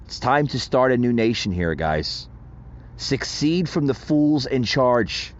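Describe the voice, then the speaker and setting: A man's voice: medium pitch, big pitch swings, takes it slowly, lo-fi sound. A man hosting a solo political podcast, speaking emphatically and calling his listeners "folks". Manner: emphatic, rousing delivery addressing "folks". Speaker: podcast host.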